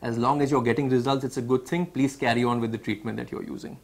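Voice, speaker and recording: A man with an Indian accent hosting a medical question-and-answer session, explaining and restating points clearly for viewers. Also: mid-range voice, fairly even intonation, fast talker, a little mellow. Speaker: webinar host. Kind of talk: moderating a medical Q&A, reading and recapping.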